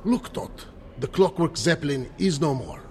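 Excitable inventor-adventurer for radio serial, male actor: exclamatory, animated, slightly high-pitched. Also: normal speed, faint music behind, natural voice. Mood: assured. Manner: theatrical, exclamatory. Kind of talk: old-time radio adventure serial acting.

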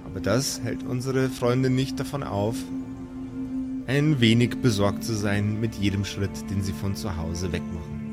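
A man's voice: on the low side, steady tone, unhurried. Male game master narrating, music behind. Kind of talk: improvised storytelling narration.

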